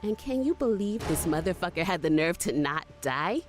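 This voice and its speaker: A young woman battling monsters in a horror audio drama. Her voice sits slightly low as she tells it first-hand, sweary, shaken and conversational, with clearly audible music behind her. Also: very animated, moderate pace. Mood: horrified. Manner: sweary, breathless, conversational first-person storytelling. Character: gutsy, foul-mouthed young woman fighting monsters, narrating in shock.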